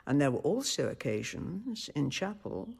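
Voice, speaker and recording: Worldly convent abbess, radio drama: creaky, measured, theatrical, very expressive pitch. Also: female voice, heavily acted. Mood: grave storytelling. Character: shrewd, worldly, politic abbess of a Renaissance convent.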